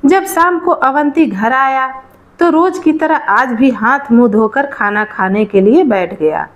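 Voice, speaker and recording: Slow, high-voiced woman telling a story. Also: lively intonation, dull audio. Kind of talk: scripted narration of a Hindi moral folk tale.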